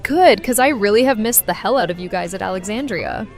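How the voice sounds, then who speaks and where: A woman's voice: medium pitch, expressive, fairly quick, gravelly, faint music behind. Actress as brash, wisecracking young woman. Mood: casual banter. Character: brash, wisecracking, affectionate young woman, loyal best friend. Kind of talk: audio drama acting, conversational.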